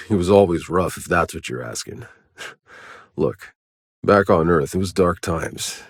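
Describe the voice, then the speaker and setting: A man voicing a gruff, battle-weary soldier in a video game, sardonic and hard-bitten, with terse delivery. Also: low-pitched, animated, slow pace, no echo, studio quality, heavily acted. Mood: subdued, weary. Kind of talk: scripted game dialogue.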